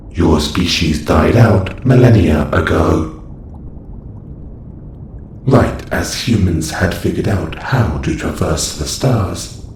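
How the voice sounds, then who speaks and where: A man's voice: deep voice, darkish tone. A man voicing a clinical, detached observation robot in an audio roleplay, speaking in a stilted, formal, matter-of-fact way. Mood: detached, instructing. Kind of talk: scripted audio roleplay monologue. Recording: roomy echo with faint noise.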